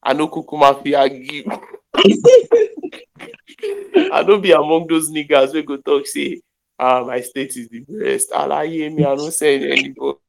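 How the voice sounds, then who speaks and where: A man's voice: a little high, very animated, measured pace, vocal fry, pauses often, rough recording. A young man from Nigeria with a Nigerian accent, hosting a podcast over an internet call and chatting casually in pidgin and English with Yoruba phrases, joking as he goes. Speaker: podcast host.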